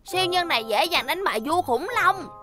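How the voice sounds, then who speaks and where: An actor voicing an excitable small boy in a cartoon dub. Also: extremely high pitch, fairly quick, heavily acted. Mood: excited. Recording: loud music behind.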